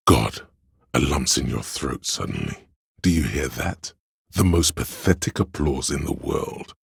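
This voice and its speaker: A male actor voicing a servile, courtly and suspicious adviser in a video game, speaking in a grandiose, measured, theatrical way with a gravelly, husky voice. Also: a bass voice, no echo, studio quality, exaggerated voice. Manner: grandiose, measured, addressing listener as sire. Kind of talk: theatrical game character voice lines.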